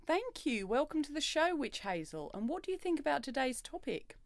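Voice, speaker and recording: A woman playing a chirpy daytime talk show host in a comedy radio play, brisk and presenterly. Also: sits high, big pitch swings, vocal fry, dry recording, full character voice. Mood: upbeat, presenterly.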